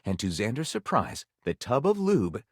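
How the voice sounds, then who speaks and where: A man's voice: medium pitch, sing-song, normal speed, breathy edge, no room sound, clean studio sound. A man playing a theatrical, tongue-in-cheek narrator of pulpy detective tales in a video game, arch and savoring every dramatic turn.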